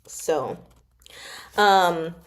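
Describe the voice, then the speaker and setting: A woman chatting casually as host, retelling a novel to viewers in an animated, giggly, slangy way and acting out reactions. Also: fairly low pitch, drawn-out words. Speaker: book club host and storyteller.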